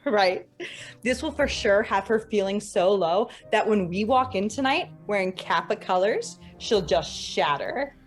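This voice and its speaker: A woman's voice: mid-range voice, expressive, a little slow, soft background music, close to an everyday voice. A young woman playing a spiteful, domineering college student in a dramatic reading, with a catty, dramatic delivery. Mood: gleeful spite.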